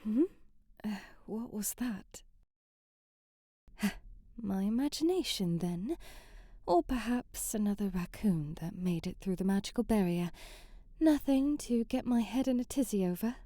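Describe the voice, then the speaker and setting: A woman's voice: mid-range voice, expressive, unhurried, long pauses, warm tone, no room sound, lightly acted. A woman playing a sheltered, romantic young princess in an ASMR audio drama, with flowery, theatrical storybook phrasing. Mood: puzzled, sleepy. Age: young adult. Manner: theatrical, flowery, prim storybook phrasing, sighing, often half-whispering.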